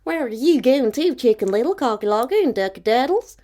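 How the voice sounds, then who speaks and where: A woman acts a friendly storybook farmyard bird, curious and eager. The voice is raised and animated, with a questioning singsong. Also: fairly high pitch, a little fast, pitched above the performer's own voice. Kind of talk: fairy tale storytelling.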